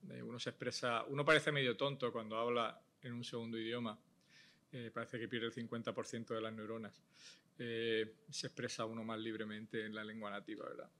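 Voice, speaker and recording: A man, a transplant surgeon recounting his story at a panel, measured and thoughtful, pausing often on "eh". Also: medium pitch, level tone, sharp tone. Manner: measured, thoughtful, with frequent "eh" pauses. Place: indoor panel stage before a logo backdrop. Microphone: table microphone on a stand.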